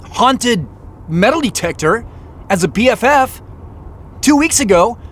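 A man's voice: high-pitched, fairly bright tone, slight background noise. A man acting in an audio drama as a stressed, suspicious, sarcastic man on the run. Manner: sarcastic, agitated. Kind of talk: audio drama acting with first-person narration.

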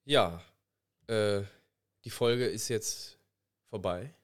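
Young male podcast co-host, lowish voice, nasal with a cold, chatty and rambling. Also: very slow. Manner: rambling, filler-heavy, colloquial. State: has a cold. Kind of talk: casual chat over a voice call. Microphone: recording mic, directly connected.

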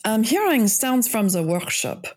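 A sly, worldly French woman in an audio drama. She speaks slowly with a husky French accent, phrasing things formally and with care. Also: fairly high pitch, very animated, a little mellow, exaggerated voice. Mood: hungover, dry.

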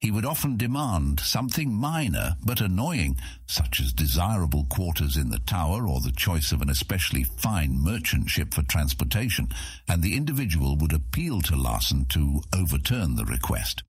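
A man's voice: low voice, slightly rough, no room sound, studio quality. A man reading scripted dramatic narration for a fantasy audiobook.

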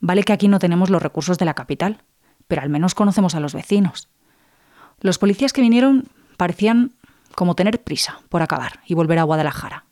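A woman's voice: on the low side, fast, a little mellow, close to an everyday voice. A woman playing a murder victim's earnest, grieving sister, giving documentary-style testimony and insisting on justice. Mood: wistful, doubtful.

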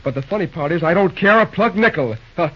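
An actor playing an earnest, self-pitying, hot-headed young railroad superintendent in an old-time radio drama, despairing in folksy slang. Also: male voice, sits high, animated, fast talker, husky. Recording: muffled old radio show recording.

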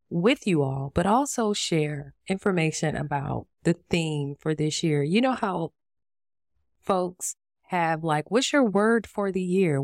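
A woman hosting a podcast and talking casually on her own. Her voice is raspy from allergies, and she chats with plenty of fillers and asides. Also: on the low side, darkish tone, no room sound, studio quality. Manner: chatty and conversational, with frequent fillers and asides. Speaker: podcast host. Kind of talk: casual solo podcast monologue.

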